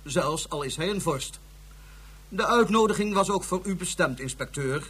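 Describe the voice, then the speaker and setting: A man's voice: high voice, expressive, moderate pace, a bit bright, breathy edge, heavily acted. An actor playing a haughty male Martian ruler, speaking in a formal, condescending way. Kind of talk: radio drama acting.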